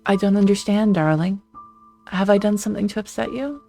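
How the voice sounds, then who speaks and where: A woman's voice: a little fast, creaky, darkish tone, soft background music, close to an everyday voice. A woman voicing a confused, pleading husband who does not know he has died. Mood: bewildered pleading. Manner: bewildered, pleading, voice raised higher. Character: confused ghostly husband, middle-aged man, pleading.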